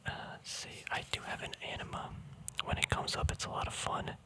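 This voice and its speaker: Whispering man, casual ASMR ramble. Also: fairly quick. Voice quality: breathy unvoiced whisper. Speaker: ASMR video creator. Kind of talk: ASMR, casual rambling commentary on a card deck.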